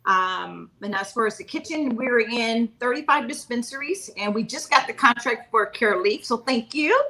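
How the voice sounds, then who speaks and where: A woman's voice: a little high, animated, normal speed, sharp tone, poor audio. A woman speaking casually as a panelist, polite and matter-of-fact. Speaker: panelist, skincare and edibles maker. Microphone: video call.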